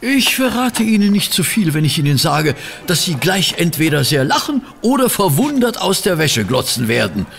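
An actor playing a sceptical gentleman sidekick and storyteller in a German radio play, dry, grumbling and ironic. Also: on the high side, very animated, moderate pace, gravelly, hardly any pauses, full character voice. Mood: wry storytelling.

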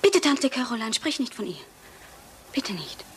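An anxious, high-voiced young wife. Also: female voice, sing-song, normal speed. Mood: subdued, uneasy. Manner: tense, pleading, evasive. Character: anxious, haunted young Italian wife in England. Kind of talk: radio play acting. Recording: hissy old radio play recording.

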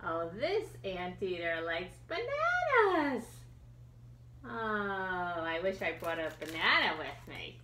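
A middle-aged woman reading a picture book for children, expressive and putting questions to young listeners. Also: medium pitch, slow pace, roomy sound. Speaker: children's librarian, storytime reader. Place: small room indoors.

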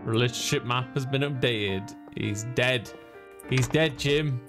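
A man's voice: mid-range voice, a little slow. A man giving casual, jokey, rambling commentary as he plays a video game for an audience. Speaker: let's play streamer. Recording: music behind the voice. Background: game music.

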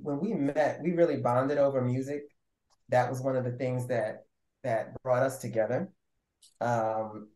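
A man raised in New York and Maryland, speaking as a musician guest in a thoughtful, measured way. His voice sits in a middle range. The room adds a clear echo. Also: mid-range voice, a little mellow. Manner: thoughtful, measured, trailing off at times. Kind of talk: casual interview. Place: indoor room with patterned wall. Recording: roomy echo.

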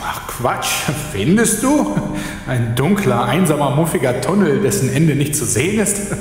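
A man's voice: medium pitch, sing-song, a little slow. Actor playing an uneasy young man, music behind. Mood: joking. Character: uneasy, wisecracking young man searching for his friend. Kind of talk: German horror audio drama acting.

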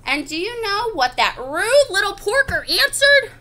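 A woman's voice: extremely high pitch, big pitch swings, unhurried, sharp tone, voice pushed up, exaggerated voice. A woman voicing an indignant, self-justifying wolf telling his side of a picture-book story in a chatty, confiding, theatrical way. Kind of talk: expressive picture-book read-aloud.

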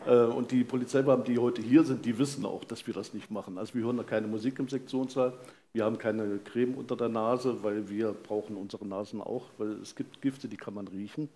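A man's voice: on the low side, steady tone, a little fast, dark tone. Matter-of-fact older man over venue noise. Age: 60s. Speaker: forensic pathologist, guest. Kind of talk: interview answers on stage. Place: stage in a vaulted venue with live audience. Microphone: headset. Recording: clear ambient noise of a live venue.

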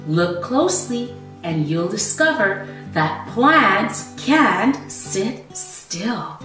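Expressive woman reading to kids, slow and lively. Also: mid-range voice, distant mic, soft background music. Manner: expressive, exaggerated emphasis, teacherly. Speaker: children's teacher reading a storybook aloud. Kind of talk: storytime read-aloud with explanations for young children. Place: small living room at home, indoors. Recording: roomy echo, away from the mic.

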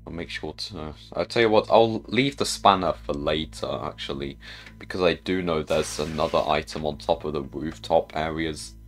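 A man's voice: a bass voice, fairly even intonation, moderate pace, faint music behind. A man giving casual gameplay commentary, chatting and thinking aloud as he plays. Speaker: gamer narrating own play.

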